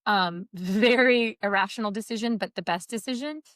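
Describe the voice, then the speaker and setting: A woman's voice: medium pitch, moderate pace, fairly bright tone, no room sound, clean studio sound. Nasal woman co-hosting a podcast. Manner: chatty, joking, self-mocking. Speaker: podcast co-host. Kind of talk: casual podcast chat.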